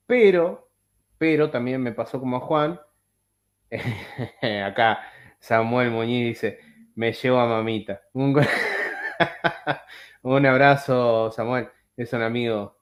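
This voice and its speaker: Man w hosting a video call chat, rambly, lots of ehs and restarts, normal mid voice. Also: mid-range voice. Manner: rambling, halting, many fillers and restarts. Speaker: podcast host. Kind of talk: casual chat.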